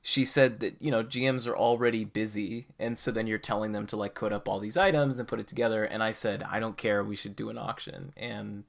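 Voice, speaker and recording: A man's voice: on the low side, steady tone, brisk, creaky, few pauses. A man hosting a podcast, talking casually and conversationally as he explains his opinions. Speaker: podcast host.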